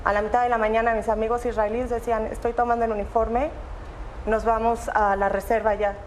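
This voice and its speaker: A young woman describes her evacuation in an earnest, halting way, often repeating herself. Her voice sits a bit high. Faint background noise is heard. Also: level tone, poor audio. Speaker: interviewee, evacuee and student. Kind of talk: emotional interview testimony.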